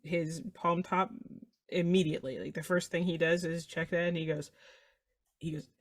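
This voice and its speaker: A man playing a tabletop roleplaying game, explaining in short, measured phrases and checking in as he goes. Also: a little high, fairly even intonation, a bit bright, no echo, studio quality. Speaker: player.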